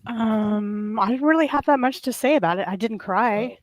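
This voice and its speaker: A woman on a casual panel chat with a soft voice that turns crackly and raw, telling a long, earnest, indignant story. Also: on the high side, normal speed, no room sound.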